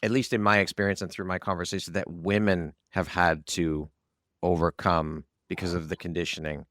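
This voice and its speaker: A man's voice: low voice, level tone, normal speed, fairly bright tone, no room sound, clean studio sound. A man hosting an interview podcast in a conversational style. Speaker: podcast host.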